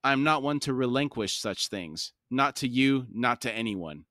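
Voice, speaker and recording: A man reading aloud as a smug, scornful tyrant king who rasps through long gloating speeches. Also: medium pitch, expressive, moderate pace, a bit bright, no room sound, clean studio sound, lightly acted. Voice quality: rasping, self-satisfied.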